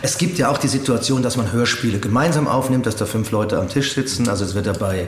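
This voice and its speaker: A man giving reflective interview answers as a voice actor, halting and hesitant as he searches for words. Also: mid-range voice. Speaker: interview guest, audio drama voice actor. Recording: roomy echo with faint background noise.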